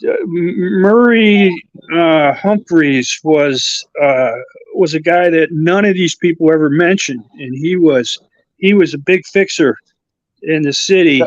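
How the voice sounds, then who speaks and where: A man with a slight Chicago accent, a guest co-host who talks haltingly with many uhs and restarts. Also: a little high, no echo, lo-fi sound. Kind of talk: casual conversation.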